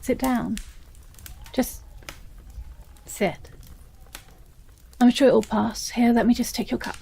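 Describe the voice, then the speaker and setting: A woman with a British accent playing an obsessive, possessive, unstable stepsister in a dramatic audio roleplay, speaking haltingly with heavy pauses. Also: a little high, steady tone, creaky, mellow, a little hiss or hum. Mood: falsely soothing.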